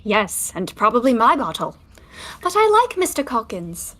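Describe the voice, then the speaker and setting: An actress playing an impatient, prim young sister in a 1920s stage comedy, huffy and scolding with clipped retorts. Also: female voice, fairly high pitch, very animated, normal speed, a bit bright. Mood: defensive, irritated. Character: exasperated, prim, impatient sister of a carefree bather.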